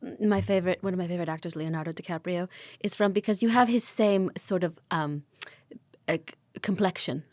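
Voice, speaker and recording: A woman's voice: lowish voice, a little fast, darkish tone, no room sound, clean studio sound. A woman co-hosting an improvised comedy podcast, gushing and earnest, often stumbling over her words. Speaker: podcast co-host.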